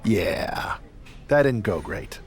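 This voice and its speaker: A man's voice: fairly low pitch, lively intonation, slow. A man playing a defiant, stubborn pro wrestler in a video game cutscene, talking in a blunt, folksy way. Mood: dry, casual.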